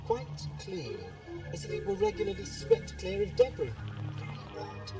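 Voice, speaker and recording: A man's voice: very high-pitched, wildly swooping pitch, moderate pace, vocal fry, exaggerated voice. A man playing a curious, eccentric time-travelling scientist in an audio drama, wordy and wry as he explains things. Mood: curious, musing. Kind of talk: 1980s amateur audio drama acting. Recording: distant voice under loud music.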